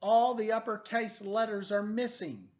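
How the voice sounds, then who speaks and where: A man's voice: sits high, fairly even intonation, a little slow, dull audio, pitched above the performer's own voice. A man voicing an agitated male question mark in a children's storybook, pleading urgently and emphatically.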